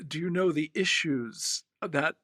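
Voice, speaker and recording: A man's voice: on the high side, unhurried, bright, forceful tone, no room sound, clean studio sound. A man in his sixties co-hosting a podcast, talking thoughtfully and telling stories with frequent restarts. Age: 60s. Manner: thoughtful, storytelling, hesitant restarts. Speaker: podcast host. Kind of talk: reflective podcast conversation.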